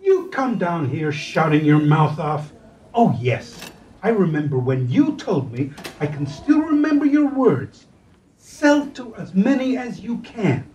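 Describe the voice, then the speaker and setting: Man doing an indignant branch manager pushing back, high lively voice, far from mic. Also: a little slow, slight background noise. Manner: defensive, indignant. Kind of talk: amateur radio play acting.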